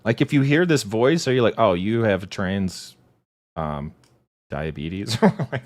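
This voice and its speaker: A man co-hosting a casual political podcast, sarcastic and quick to laugh as he reads comments aloud. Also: lively intonation. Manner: sarcastic, laughing, big swings in pitch. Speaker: podcast co-host.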